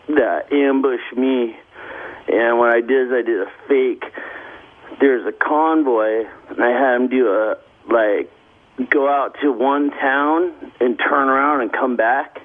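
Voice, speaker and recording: A man calling into a radio show, rambling and bragging with lots of fillers. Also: mid-range voice. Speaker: caller. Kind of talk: phone call into radio show. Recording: tinny phone line.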